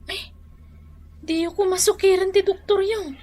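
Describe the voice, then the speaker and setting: An actress playing a plaintive, exasperated grown daughter in a comedy radio drama, with an Ilocano accent. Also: female voice, extremely high pitch, steady tone, measured pace, mellow. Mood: resigned. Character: plaintive grown daughter scolding her stubborn father.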